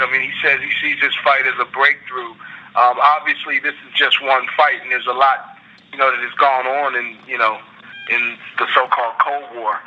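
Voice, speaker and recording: A very bright, forceful male voice comes through a dull telephone connection with faint noise. He is a reporter on a conference call. His questions are wordy and halting. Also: medium pitch. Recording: muffled phone line, faint noise.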